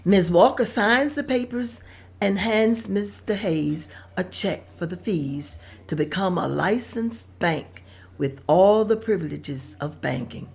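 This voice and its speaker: A woman's voice: mid-range voice, slow pace, gravelly, breathy edge. A woman reading out scene directions at a script table read in a plain, descriptive manner.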